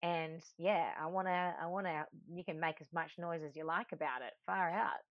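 Encouraging woman host, lower voice. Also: fairly even intonation, moderate pace, no room sound. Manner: encouraging, playful. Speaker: podcast host. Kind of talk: casual podcast chat. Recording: dull, dry sound.

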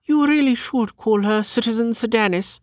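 A woman's voice: fairly high pitch, normal speed, darkish tone, dry recording, studio quality, pitched above the performer's own voice, natural voice. A woman voicing a prim, snobbish noblewoman in an audiobook, with a testy, proper manner. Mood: haughty, proper. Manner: haughty, sniffing, proper. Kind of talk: audiobook character acting.

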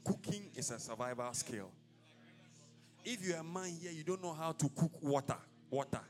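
A Ghanaian man preaches in a slightly raised voice, swinging between loud declaiming bursts and quieter conversational asides. His sermon carries through a church service. Soft music plays faintly beneath his words. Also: on the high side, animated, normal speed. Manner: declamatory, prays in rising bursts then drops to casual asides. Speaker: apostle preaching.

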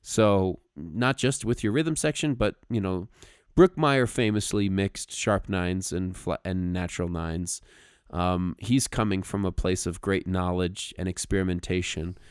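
A man with a deep, clear voice co-hosts a podcast, recorded dry and close. He explains music in a casual way, now and then halting or doubling a word as he works out the thought. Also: dry recording. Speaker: podcast co-host. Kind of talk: casual explanatory talk about music arranging.

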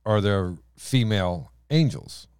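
A man with a deep voice and strained vocal cords, hosting a casual Bible podcast, laughing often and restarting his sentences. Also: animated, unhurried, darkish tone, smooth, dry recording, studio quality. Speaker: podcast host.

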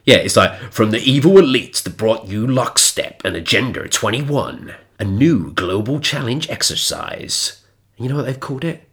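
A man's voice: lively intonation, normal speed, fairly bright tone. A man with a blocked, nasal voice from a cold, co-hosting a casual podcast in a sweary, mocking way. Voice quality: nasal, stuffy. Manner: sweary, mocking, jumps into high mimicking voices. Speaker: podcast co-host. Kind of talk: casual conspiratorial chat.

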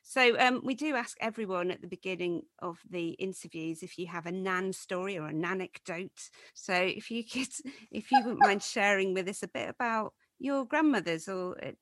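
A woman's voice: mid-range voice, moderate pace, few pauses, fairly bright tone, no room sound. A middle-aged woman hosting a podcast, telling a wry, self-deprecating comic story about family before moving into an interview. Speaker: podcast host. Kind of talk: storytelling monologue then interview.

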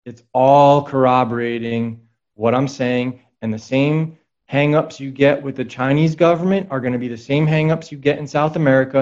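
A man's voice: medium pitch, a little mellow, highs cut off. A man talking casually as a guest on a podcast, rambling with frequent restarts and reading out quotes. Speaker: guest researcher and author.

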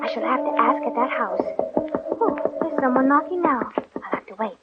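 A woman's voice: high-pitched, moderate pace, music in the background, close to an everyday voice. A woman playing a polite, curious young girl who muses aloud to herself in an old radio play. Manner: polite, wondering, talks to herself. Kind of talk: 1940s radio acting. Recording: dull old radio recording.

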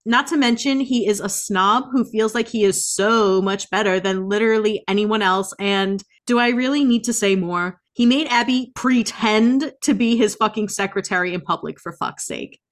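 A woman's voice: mid-range voice, normal speed, hardly any pauses. Sweary woman podcast host, sarcastic and blunt, casual chat style. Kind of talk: casual chat, book review.

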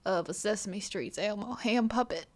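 A woman's voice: mid-range voice, moderate pace. A woman co-hosting a podcast in casual chat, teasing and joking. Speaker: podcast co-host.

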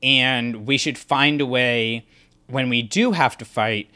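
A man's voice: medium pitch, fairly even intonation, slow, pushed and bright. A man co-hosting a podcast, talking through a fan analysis in an emphatic way and acting out imagined reactions. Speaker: podcast co-host.

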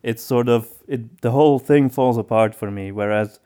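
A man's voice: medium pitch, normal speed, warm tone, smooth. A man in a casual podcast discussion, feeling his way through his thoughts with repeated and rephrased words. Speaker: podcast co-host.

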